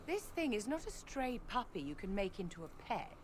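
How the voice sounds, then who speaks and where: A woman plays a haughty court mage in a fantasy game. Her mid-pitched voice moves expressively, every phrase imperious and cutting. There is faint ambience behind it. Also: sing-song, normal speed, a little hiss or hum, natural voice. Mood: scornful. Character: haughty, disdainful court enchantress. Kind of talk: video game voice acting.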